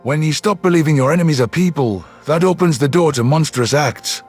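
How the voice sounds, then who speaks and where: A man's voice: a little high, fairly quick, husky, soft background music. A man voicing an earnest, principled human soldier who speaks solemnly in a sci-fi audio story.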